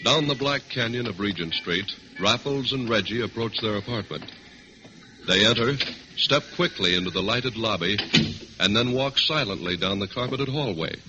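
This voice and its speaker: A man narrating and announcing a 1940s radio drama in a solemn, measured, formal style. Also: on the low side, background noise. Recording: dull vintage radio recording, loud music.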